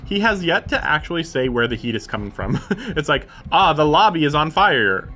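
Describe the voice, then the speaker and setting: A man's voice: a little high, sing-song, moderate pace, sharp tone, slight background noise. A man live-streaming a visual novel, reading the narration aloud and breaking into casual, incredulous commentary with some swearing. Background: game music.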